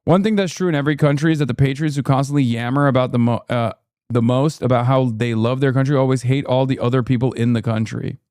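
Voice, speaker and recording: A man's voice: mid-range voice, fairly even intonation, a little mellow, clear, resonant tone, no room sound, studio quality. A man, a streamer giving combative, sarcastic political commentary while reacting to a clip. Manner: combative, sarcastic, rapid rebuttals.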